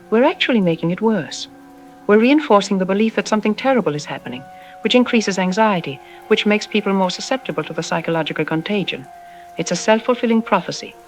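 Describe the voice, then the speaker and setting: A woman playing a self-assured psychologist on a radio drama interview, explaining in an articulate, didactic and calmly dismissive way. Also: mid-range voice, close to an everyday voice. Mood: insistent. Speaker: expert interview guest. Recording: music playing under the voice. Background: background music.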